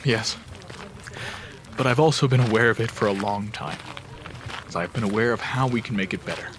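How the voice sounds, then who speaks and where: A man acting as a wise, devout seer in a fantasy audio drama, solemn, measured and reassuring. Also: lowish voice, noisy background, natural voice.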